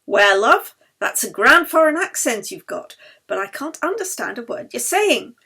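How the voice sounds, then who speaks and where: A brisk male bus conductor from a London novel, put on by a woman reader. He is familiar, chatty and cheeky, and speaks up loudly at a raised pitch. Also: very animated, slightly rough, heavily acted. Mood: cheeky, helpful.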